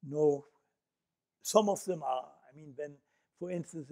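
An older man with a Dutch accent addresses an audience in an auditorium. His voice sits a little high, picked up from a distance. He talks in a reflective, unhurried way, with fillers between his thoughts. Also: gravelly, mellow. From Netherlands. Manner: reflective storytelling with frequent fillers. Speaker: Holocaust survivor speaker. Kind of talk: lecture. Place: auditorium stage.

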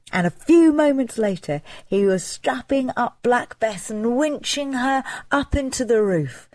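A woman storyteller narrating a folk tale dramatically, with expressive, deliberate emphasis. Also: mid-range voice, dry recording.

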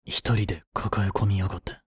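A man's voice: low voice, little pitch movement, fairly quick, husky, dry recording, studio quality. A man playing a cold, haughty young prince in a romantic audio drama, curt and imperious but secretly protective. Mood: subdued, brooding.

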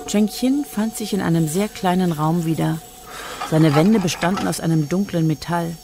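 An actress narrating a science fiction radio drama in a scripted storytelling style. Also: female voice, on the low side, normal speed, gravelly. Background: music.

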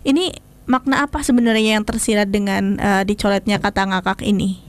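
A young woman hosting a casual radio interview in a small studio, chatting playfully and laughing often into a boom-arm mic. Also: flat delivery, fairly quick. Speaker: radio host.

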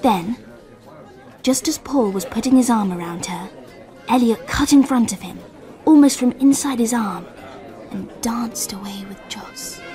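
Hushed, sensitive young girl narrating. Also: on the high side, sing-song, a little slow, music in the background, a crowd in the background. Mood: hushed, wistful. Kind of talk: radio drama, first-person narration.

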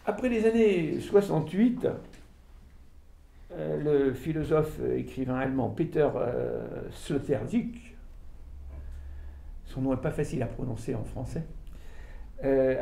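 Older man, author lecturing, dark mellow voice, halting and animated, caught in a roomy hall by a far camera. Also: on the high side, gravelly. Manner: animated, halting delivery with frequent fillers and restarts. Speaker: author giving a book talk. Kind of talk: informal lecture to an audience. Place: small room with audience, indoors. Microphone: far camera, no mic seen. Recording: echoey room from far off.

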